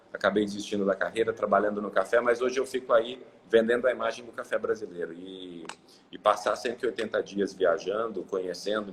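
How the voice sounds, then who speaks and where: A man's voice: lowish voice, slight background noise, rough recording. A Brazilian man from Ribeirão Preto, talking casually as a guest on a live video call, rambling with many fillers and restarts. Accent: Brazilian Portuguese. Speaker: guest, coffee exporter.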